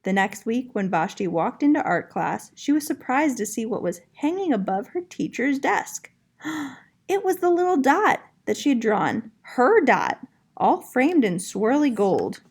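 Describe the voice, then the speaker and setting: Expressive, higher-voiced woman reading to children. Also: measured pace, slightly rough. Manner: encouraging, addressing young children. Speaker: elementary art teacher. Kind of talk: children's storybook read-aloud.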